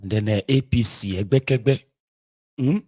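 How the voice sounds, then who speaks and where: A Nigerian man in his forties giving an impassioned political monologue, emphatic and rhetorical, jumping between loud outbursts and quiet asides. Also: fairly low pitch, moderate pace. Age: 40s. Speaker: political commentator.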